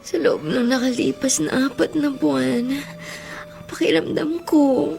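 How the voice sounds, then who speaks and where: A gravely ill young mother in a radio melodrama speaks slowly in a high, creaky voice. She is weary and tearful, halting as she despairs. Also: steady tone, darkish tone, faint music behind, exaggerated voice. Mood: weak, despairing. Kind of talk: melodramatic radio drama acting.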